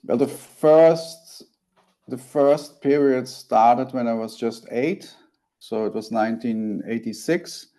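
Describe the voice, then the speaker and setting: A man in his forties from Belgium, a native Dutch speaker, talks through his story in an interview. His voice is mellow and warm, at a middling pitch. He speaks earnestly and haltingly, often restarting his sentences. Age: 40s. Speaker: guest.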